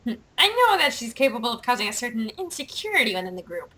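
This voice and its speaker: A woman puts on a villainous dark sorcerer from a video game. He speaks theatrically and ominously to his followers, with animated pitch and a forceful, bright edge. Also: quick pace. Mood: grandiose.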